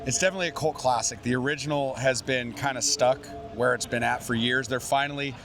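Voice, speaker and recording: A man's voice: mid-range voice, fast talker, music in the background. A young man hosting an interview, eager and agreeable, often chiming in with yeah. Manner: eager, agreeable, lots of yeahs. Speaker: interviewer host. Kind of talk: casual interview chat.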